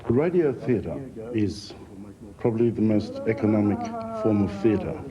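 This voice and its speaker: A middle-aged Australian man talking reflectively in an interview, in a measured, halting way. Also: fairly low pitch, lively intonation, over a noisy crowd. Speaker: theatre founder, former teacher. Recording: muffled, faintly noisy recording.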